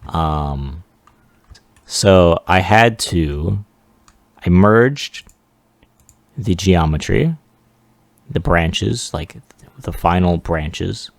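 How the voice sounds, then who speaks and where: A man giving a casual, unscripted software walkthrough as a 3D artist, rambling along in a self-deprecating way. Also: low-pitched, animated, drawn-out words, gravelly, pauses often, dry recording. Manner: rambling, self-deprecating, chuckles at own remarks. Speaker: 3D artist presenting a demo.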